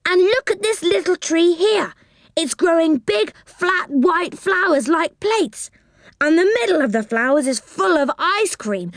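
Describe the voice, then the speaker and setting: An eager child on a storybook adventure, acted out by a woman reader. The voice is high and leaps about with wonder. Each exclamation is drawn out and relished. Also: no echo, clean studio sound.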